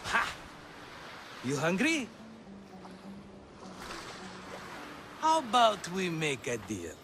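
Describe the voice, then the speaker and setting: Slick game merchant, always selling, glib n theatrical, high voice that swoops around. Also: male voice, wildly swooping pitch, slow, noisy background, heavily acted. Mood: wheedling. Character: scheming, wheedling merchant friend, adult man. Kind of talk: video game cutscene acting.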